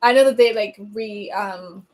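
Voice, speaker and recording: A young woman from Venezuela, a native Spanish speaker, hosting a livestream and chatting casually as she answers comments, laughing often and filling pauses with um. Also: mid-range voice, a little mellow. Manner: chatty, laughs often, many ums and restarts. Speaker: livestream host.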